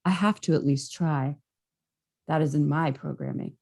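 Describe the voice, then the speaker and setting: A woman's voice: low-pitched, normal speed, vocal fry, a little mellow, husky, full character voice. A woman playing a brash, stubborn superhero in a script table read, with a casual, emphatic delivery. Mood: defiant. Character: brash, stubborn, heroic male alien superhero.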